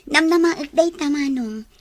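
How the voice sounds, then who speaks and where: A woman's voice: high-pitched, takes it slowly, a bit bright, dry recording. A woman acting a friendly, teasing young office worker in a drama. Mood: friendly, playful. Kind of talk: Ilocano-language drama dialogue.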